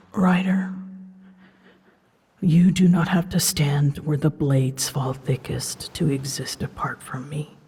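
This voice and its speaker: A woman playing an ancient female dragon in a fantasy ASMR drama, solemn and tender, speaking softly in measured, formal phrases and often near a whisper. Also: deep voice, exaggerated voice. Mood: gentle. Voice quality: soft, breathy, husky, often dropping into a whisper. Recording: long cavernous reverb.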